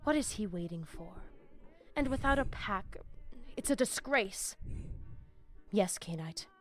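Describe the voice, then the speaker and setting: An actress playing a formal, menacing vampire bishop in a video game, speaking deliberately. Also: female voice, medium pitch, animated, faint music behind. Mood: cold resolve. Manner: theatrical, deliberate, ornate phrasing. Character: female vampire bishop, menacing, formal, zealous sect leader. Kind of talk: video game dialogue acting.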